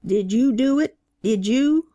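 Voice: medium pitch, lively intonation, slow pace, warm tone, dull audio, pitched above the performer's own voice. A narrator voicing a fervent elderly male preacher who fires rhetorical questions at a funeral gathering. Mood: preaching. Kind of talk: audiobook character voice.

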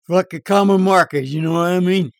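A man's voice: sits high, fairly quick, gravelly, no room sound, clean studio sound, voice pushed up. A man voicing a brash barroom joker in an audiobook, telling dirty yarns in an emphatic, drawling, slangy, conspiratorial way. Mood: cocky storytelling. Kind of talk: audiobook character dialogue.

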